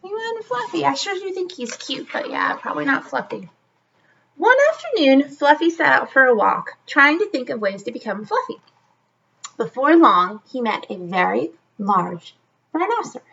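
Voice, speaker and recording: A woman's voice: high voice, very animated, moderate pace, gravelly, a bit bright, muffled recording, a little hiss or hum. A woman reading a children's story aloud expressively, like a teacher, adding chatty asides straight to her young listeners.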